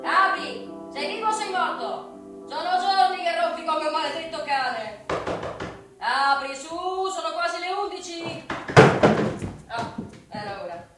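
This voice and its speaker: A woman's voice: very high-pitched, animated, unhurried, long pauses, over loud music. An actress playing a blunt, nosy servant woman who scolds and cares in a brusque, familiar, theatrical radio drama manner. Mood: impatient scolding. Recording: echoey, distant, noisy drama recording.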